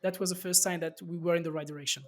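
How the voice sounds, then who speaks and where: A man's voice: a little high, fairly even intonation, racing. A man from France with a French accent, a startup founder talking loosely as an interview guest with many fillers and restarts. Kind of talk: casual interview talk.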